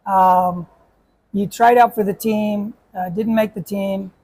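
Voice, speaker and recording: A man's voice: sits high, steady tone, slow pace, darkish tone. A man, a basketball coach, hosting a casual chat and asking questions with a joking manner and many restarts.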